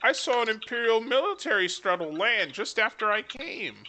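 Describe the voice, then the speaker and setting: Man doing a high-voiced gossipy ship passenger. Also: expressive, moderate pace, pushed and bright, a little hiss or hum, poor audio. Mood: conspiratorial. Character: gossipy, uneasy passenger on a space liner.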